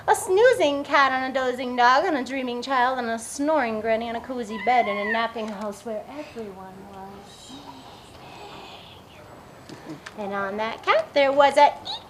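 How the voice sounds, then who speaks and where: A woman hosting story time, reading and chatting expressively to small children. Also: sits high. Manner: expressive, hushed emphasis, addressing small children. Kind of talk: children's read-aloud and chat. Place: public library story-time room. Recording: noisy room recording.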